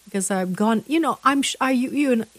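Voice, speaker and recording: A woman hosting an interview show, chatting with her guest in a halting way, full of restarts. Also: fairly high pitch, no echo. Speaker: interview host.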